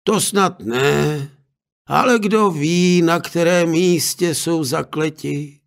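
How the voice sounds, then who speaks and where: A man voicing a cautious, motherly old maidservant in a fairy tale, speaking slowly and doubtfully. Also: on the high side, vocal fry, voice pushed up. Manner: slow, doubtful.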